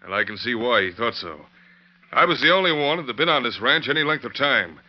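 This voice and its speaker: A man's voice: medium pitch, expressive, fairly quick, lightly acted. An actor playing a firm, authoritative frontier man in an old-time radio western, speaking plainly. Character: brisk, authoritative western lawman or ranch foreman. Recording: dull vintage radio recording.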